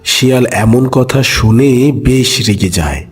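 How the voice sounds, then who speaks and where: A man's voice: mid-range voice, animated, slow, soft background music. A man narrating a children's animal fable as scripted storytelling.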